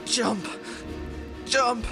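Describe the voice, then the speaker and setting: Male hero actor: badly weakened, very slow, halting, desperate, strained, music behind. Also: extremely high pitch, gravelly, heavily acted. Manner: halting, strained, broken phrases. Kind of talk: scripted fantasy audio drama acting.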